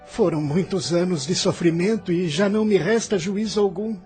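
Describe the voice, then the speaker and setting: A man's voice: sits high, moderate pace, a little mellow, faint music behind, lightly acted. A man with a Brazilian accent playing a bitter, bewildered, philosophizing soul newly arrived in the afterlife, in a theatrical audio drama. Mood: distressed, incredulous.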